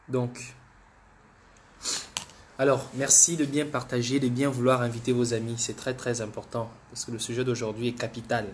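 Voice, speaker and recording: Guy hosting a political live stream, got the flu, mid-pitched voice, keeps repeating himself and stumbling over words. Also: level tone, normal speed, pauses often, a little hiss or hum. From Gabon. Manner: repetitive, restarts words, keeps urging viewers. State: has the flu. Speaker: livestream host. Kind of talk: political livestream commentary.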